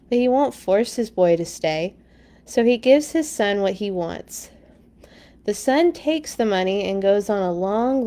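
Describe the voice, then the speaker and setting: A woman's voice: mid-range voice, dark tone. A woman hosting a children's read-along, reading stories expressively and dropping in casual asides.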